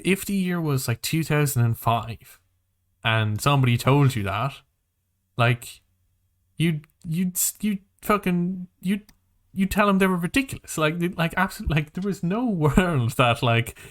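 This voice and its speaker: A man co-hosting a casual film and game podcast, talking in an animated, halting, rambling way with plenty of swearing. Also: mid-range voice. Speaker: podcast co-host.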